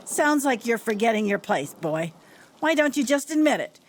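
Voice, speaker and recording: Woman acting imperious, animated, scolding matriarch. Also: fairly high pitch, normal speed. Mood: accusing, indignant. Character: imperious, indignant society hostess, an accusing grandmother. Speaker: actor in an audio drama. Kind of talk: theatrical period murder-mystery acting.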